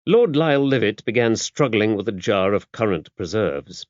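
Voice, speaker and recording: A British man narrates a mystery novel in a fairly low voice. His delivery is scripted audiobook storytelling. The sound is very dry, with a slightly muffled, lo-fi finish. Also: animated, moderate pace, darkish tone, no echo.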